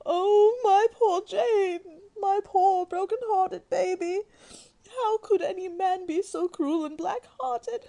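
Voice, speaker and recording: A woman's voice: very high-pitched, very slow, creaky, dark tone, highs cut off, exaggerated voice. A woman playing a melodramatic, self-pitying middle-aged mother in a radio drama, wailing and overwrought. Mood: wailing, tearful.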